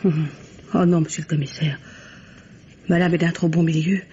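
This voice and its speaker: An actress playing an anxious, deferential housemaid giving testimony in a radio mystery, hesitant and earnest. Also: female voice, low voice, level tone, normal speed, warm tone, natural voice. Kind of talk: French radio mystery drama acting. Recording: dull, noisy old radio recording.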